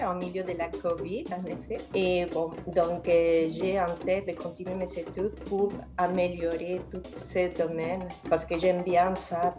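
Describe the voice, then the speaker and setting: A woman whose first language is Spanish, speaking French with a Spanish accent, introducing herself hesitantly at a casting session. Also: fairly low pitch. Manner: hesitant, laughing, many fillers. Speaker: actor auditioning.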